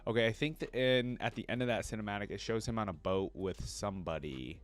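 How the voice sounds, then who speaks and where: A man's voice: lowish voice, brisk. A man hosting a reaction video, reading story text aloud and adding casual, exclamatory commentary. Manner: informal, exclamatory asides.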